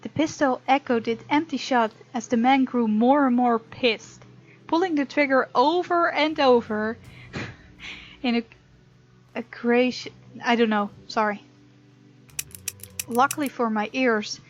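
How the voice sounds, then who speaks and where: A woman hosting a let's play, reading a visual novel aloud as story narration with playful, expressive asides. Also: a little high, unhurried. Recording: dull, lo-fi sound with music behind.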